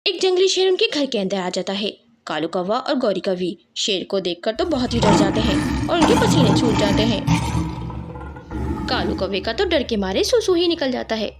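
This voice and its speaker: Female storyteller for scripted children's tales; high voice, very lively intonation.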